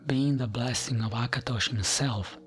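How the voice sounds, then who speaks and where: A man softly reading fantasy lore as ASMR in a breathy, often whispered voice, with a gentle, soothing manner and frequent pauses. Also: mid-range voice, soft background music. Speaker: ASMR gaming channel host.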